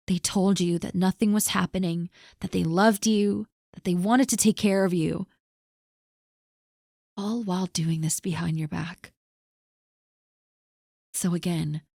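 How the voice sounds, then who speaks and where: Woman talking real slow n gentle, comforting a friend close to the mic, dry sound. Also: mid-range voice, no room sound. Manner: gentle, earnest reassurance spoken directly to the listener. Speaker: audio roleplay performer. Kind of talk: scripted audio roleplay, comforting a listener.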